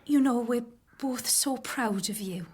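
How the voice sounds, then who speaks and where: An actress playing a warm, earnest young pregnant doctor's wife in a naturalistic radio drama, with a breathy voice. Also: female voice, a little high, unhurried. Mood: affectionate. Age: young adult.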